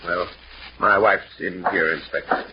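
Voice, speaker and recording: An earnest, polite husband in a vintage radio mystery. His lowish voice moves expressively. The recording is dull, with some noise. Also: measured pace. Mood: shaken, subdued. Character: earnest suburban husband, businessman, devoted to his wife. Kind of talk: old-time radio mystery acting.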